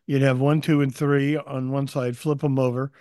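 A man with a medium-pitched voice explains in a casual, step-by-step way. His recording is dry, with no room to it. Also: level tone, normal speed, warm tone, dry recording.

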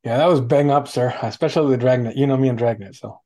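A man's voice: medium pitch, fast talker, warm tone. A man chatting casually and politely in a group, stammering a little as he repeats words. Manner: polite, addresses others as sir. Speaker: meeting host.

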